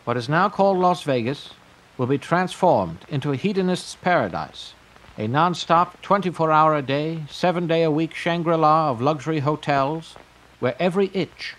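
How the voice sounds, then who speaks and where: A man playing a grandiose, eccentric tycoon pitching his pleasure empire in a declamatory audio drama monologue. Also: lively intonation, moderate pace, fairly bright tone, poor audio.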